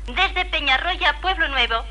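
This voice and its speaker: A woman's voice: sits high, unhurried, bright, forceful tone, slight background noise. A woman with an accent from Spain reading listener dedications in 1950s radio announcing style, on a vintage recording. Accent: Spanish from Spain.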